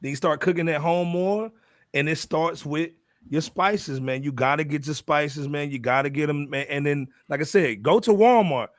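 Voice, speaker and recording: Higher-pitched emphatic male podcast panelist. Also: normal speed, dry recording, clean studio sound. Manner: hyping, emphatic, repeats phrases. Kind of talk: casual chat.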